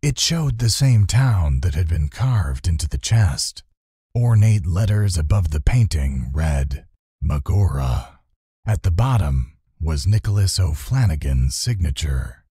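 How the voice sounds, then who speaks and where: Low, husky male storybook narrator. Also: big pitch swings, unhurried, warm tone, no room sound, studio quality. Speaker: audiobook narrator. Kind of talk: scripted children's fantasy narration.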